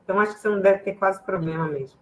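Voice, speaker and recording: A woman's voice: mid-range voice, rapid-fire, slight background noise, rough recording. Woman host asking a guest questions. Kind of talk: interviewing a guest.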